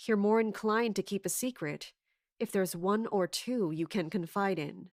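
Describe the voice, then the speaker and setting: A woman playing a scheming, authoritative commander in an audio drama, speaking in a measured way. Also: medium pitch, a little mellow, no room sound, studio quality, close to an everyday voice. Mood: brooding, resolved. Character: scheming, commanding woman in charge of palace guards. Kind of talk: audio drama acting with first-person narration.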